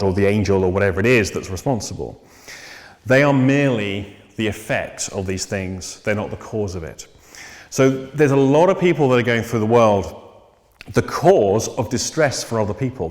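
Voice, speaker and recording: A man teaching about psychotherapy in a measured, thoughtful way, pausing often with ums and restarting his sentences. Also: fairly low pitch. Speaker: psychotherapy trainer. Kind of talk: unscripted lecture on therapy. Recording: long reverb.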